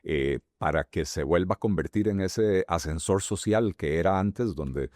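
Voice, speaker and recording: Man, Costa Rican accent, very low pitch, dry sound, halting speech. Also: darkish tone, no room sound. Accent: Costa Rican Spanish. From Costa Rica. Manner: halting, many fillers and restarts. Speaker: presidential candidate. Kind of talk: interview answers.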